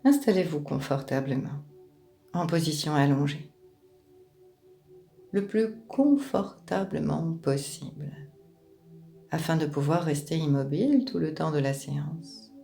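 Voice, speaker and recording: A woman leading a guided relaxation meditation in a calm, gentle, unhurried manner, with pauses between short phrases. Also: low voice, vocal fry, soft background music.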